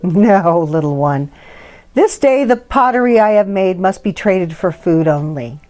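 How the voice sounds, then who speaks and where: A gentle but firm storybook mother , voiced by a woman reader. Her phrasing is measured and formal, over faint music. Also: on the low side, expressive. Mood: gently firm. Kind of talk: storybook read-aloud.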